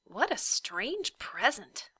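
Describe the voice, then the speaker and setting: A woman's voice: on the high side, very animated, slow pace, bright, forceful tone, husky, no room sound, exaggerated voice. A woman voicing a bored, skeptical young boy in a storybook, musing half to himself. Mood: unimpressed, musing.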